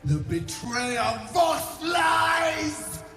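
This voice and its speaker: A woman's voice: high-pitched, big pitch swings, extremely slow, vocal fry, fairly bright tone, raised voice, a faint crowd, exaggerated voice. A woman playing a wrathful, imperious undead alien queen in a fantasy game, with grandiose, commanding delivery. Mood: furious. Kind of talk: cinematic fantasy game acting. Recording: echoing voice over music.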